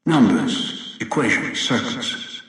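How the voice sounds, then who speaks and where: A menacing old captor, booming echo. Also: male voice, big pitch swings, a bit bright. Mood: commanding. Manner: commanding, deliberate, menacing. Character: cold, controlling old villain holding people captive. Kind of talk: video game voice acting. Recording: very echoey, distant, long reverb.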